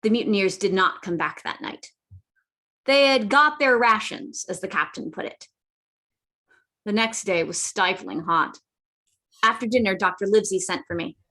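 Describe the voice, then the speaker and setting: A woman's voice: mid-range voice, normal speed, long pauses, pushed and bright. Woman as plucky young Jim, telling his adventure. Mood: earnest storytelling. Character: earnest, plucky boy adventurer Jim Hawkins. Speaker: narrator and lead character. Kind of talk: dramatic scripted narration.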